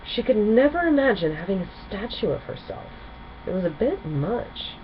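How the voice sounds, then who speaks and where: A woman narrating a children's story in an expressive storytelling style. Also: medium pitch, normal speed. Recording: dull sound with faint background noise.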